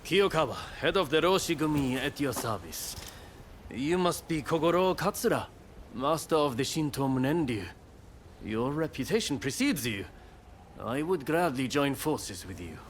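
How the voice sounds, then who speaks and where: A man's voice: on the high side, expressive, normal speed, a little hiss or hum, close to an everyday voice. A man playing a shrewd, assured samurai militia leader in a video game, with an authoritative manner. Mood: courteous. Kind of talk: video game cutscene acting.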